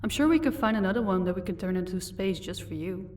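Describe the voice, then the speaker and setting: A woman's voice: mid-range voice, expressive, fast, a little mellow, far from the mic, a little hiss or hum, lightly acted. A woman playing a composed, haughty vampire wife in an audio roleplay. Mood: calm, affectionate. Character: commanding female vampire, protective wife, cold to captives.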